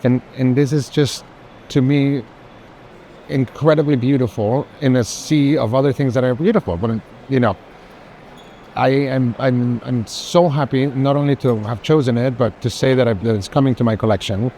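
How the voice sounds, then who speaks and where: A man's voice: mid-range voice, normal speed, mellow. A man speaking casually as a guest collector, effusive and emphatic, repeating words for stress. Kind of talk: casual unscripted conversation. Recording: faint urban background noise.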